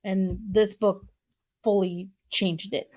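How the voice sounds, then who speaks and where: A woman's voice: mid-range voice, flat delivery, slow, a little mellow, no echo. A young woman from Portugal, hosting her own podcast and talking earnestly about books, with fillers and restarts along the way. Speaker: podcast host. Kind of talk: casual podcast talk recommending books.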